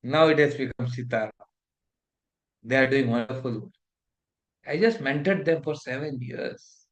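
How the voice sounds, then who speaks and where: A man's voice: medium pitch, normal speed, low-quality recording. A middle-aged man from India with an Indian English accent, lecturing a fellowship class in a preachy, scolding way and mixing in Hindi.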